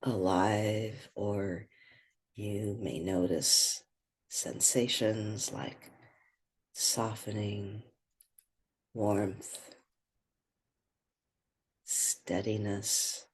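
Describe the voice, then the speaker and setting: A meditation guide speaking gently and without hurry, leaving pauses between short phrases. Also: deep voice, level tone, slightly rough.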